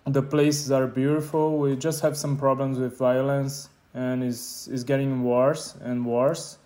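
A man's voice: mid-range voice, little pitch movement, unhurried, a little mellow, a little hiss or hum. A man in his twenties from Brazil with a Brazilian accent, casually telling his personal story as an interviewee. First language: Portuguese. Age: 20s. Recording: clear guitar music behind the voice. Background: guitar music.

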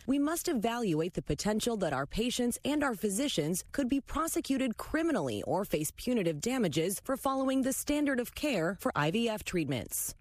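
A woman's voice: mid-range voice, no echo. A woman news reporter delivering a scripted report in a formal broadcast style.

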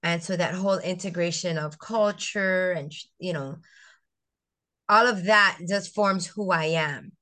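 Reflective lower-voiced woman from St. Croix. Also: unhurried, sharp tone. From US Virgin Islands (St. Croix). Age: middle-aged. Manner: reflective, storytelling, with frequent fillers and restarts. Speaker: guest, educator and business founder. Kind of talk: conversational interview.

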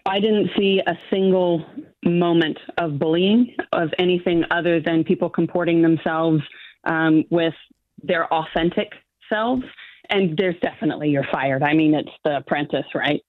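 A woman's voice: on the low side, normal speed, no echo. A woman guest, not from New Zealand, speaking confidently in a remote interview and laughing often. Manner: animated, laughs often, self-assured. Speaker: guest, show judge. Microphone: phone or video call line. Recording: thin phone-like line.

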